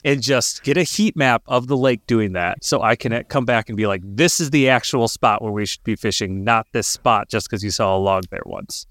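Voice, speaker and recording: A man talks as a podcast co-host in a casual, conversational storytelling style. His voice sits at a middling pitch for a man. The sound is dry, with very little room in it. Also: a bit bright, no echo.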